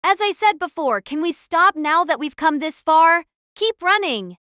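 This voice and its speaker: A woman performs a young female platoon captain from an anime-style audio drama. Her voice is very high and bright, swinging widely in pitch. She is determined and urgent, strained as if in battle. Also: animated, measured pace, no room sound, clean studio sound, exaggerated voice. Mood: strained, pressing.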